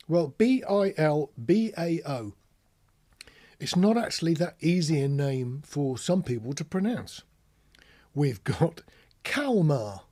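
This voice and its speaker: A man's voice: a little high, mellow. A British man giving an informal instructional monologue as a host, chatting through examples and tossing in rhetorical questions. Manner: conversational, rhetorical tag questions, spells out words. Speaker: podcast host, radio instructor.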